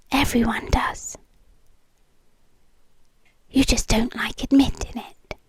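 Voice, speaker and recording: A British woman playing a possessive, doting yandere girlfriend in an intimate ASMR roleplay, soft, coaxing and often whispering close to the mic. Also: high voice, no room sound, heavily acted. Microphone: close microphone, dry.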